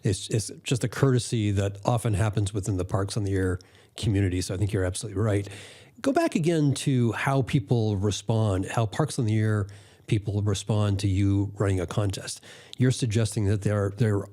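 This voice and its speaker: Mellow, low-voiced male interviewer. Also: moderate pace. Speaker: interviewer, host. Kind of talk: conversational interviewing.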